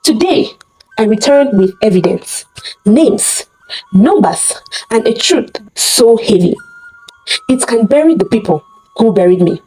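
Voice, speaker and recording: A woman's voice: fairly high pitch, big pitch swings, measured pace, pauses often, dark tone, breathy edge, faint music behind, full character voice, rough recording. A woman storyteller voicing a wronged woman back for revenge, cool, resolute and declamatory in a dramatic folktale. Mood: cold accusation.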